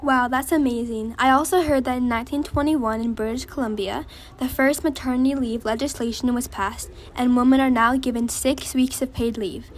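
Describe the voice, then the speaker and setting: High-voiced woman playing a polite 1920s radio hostess. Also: fairly even intonation, moderate pace, rough recording. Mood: upbeat, polite. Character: upbeat woman host of a 1920s women's rights radio show. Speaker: radio host. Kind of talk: scripted amateur 1920s radio show.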